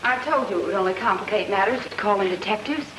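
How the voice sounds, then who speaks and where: An actress playing a cool, disapproving young fiancée in an old-time radio drama. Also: female voice, mid-range voice, fast, close to an everyday voice. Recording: noisy, echoey old radio recording.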